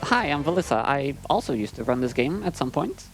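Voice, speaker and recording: A man's voice: fairly high pitch, sing-song, brisk, low-quality recording. A man giving casual, teasing couch commentary with dry humour during a video game speedrun. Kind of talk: casual banter. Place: live speedrunning marathon event. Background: game music.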